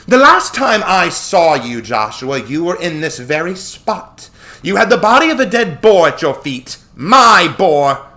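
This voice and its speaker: A wild, imperious huntress goddess from a fantasy audiobook, played by a man, pitched well above his normal voice. The delivery is haughty and barking, full of scorn, with bright, forceful edges. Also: sing-song, a little slow, exaggerated voice. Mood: scornful fury.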